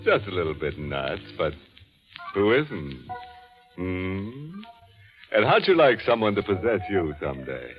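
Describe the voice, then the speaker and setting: An actor playing a ghoulish horror host who is macabre, wry and mock-friendly, in 1940s radio style. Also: male voice, low voice, very animated, measured pace. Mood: gleeful, sardonic. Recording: dull 1940s radio recording, music behind.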